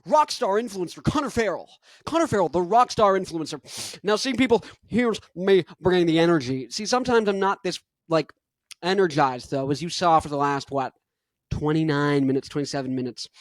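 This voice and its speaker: A young man hosting his own podcast in an energetic, rambling monologue full of "like". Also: a little high, no room sound, clean studio sound. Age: young adult. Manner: rambling, energetic, heavy use of "like". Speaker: podcast host.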